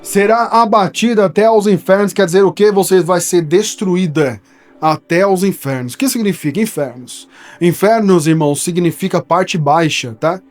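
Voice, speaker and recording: A man's voice: sits high, normal speed, soft background music. A man from São Paulo with a paulistano accent, explaining a Bible verse in a studio in a conversational teaching style that keeps checking in with his listeners. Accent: São Paulo Portuguese. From São Paulo, Brazil. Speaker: preacher.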